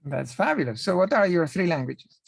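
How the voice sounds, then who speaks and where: Gravelly middle-aged guy hosting an interview, polite, hesitant, dry close sound. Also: sits high, very animated, a little fast, dry recording. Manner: polite, precise, with frequent hesitations. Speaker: interviewer and host. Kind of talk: interview, scripted intro.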